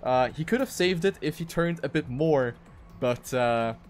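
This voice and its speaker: A man's voice: fairly high pitch, lively intonation, unhurried, soft background music. A man giving live commentary on a video game race.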